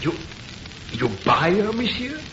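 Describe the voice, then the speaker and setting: Timid, swooping French shopkeeper. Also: male voice, sits high, very animated, measured pace, fairly bright tone, exaggerated voice. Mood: eager, protesting. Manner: hesitant, deferential, broken English. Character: timid, superstitious French shopkeeper, servile and frightened. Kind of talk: old-time horror radio play acting. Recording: muffled, noisy old radio recording.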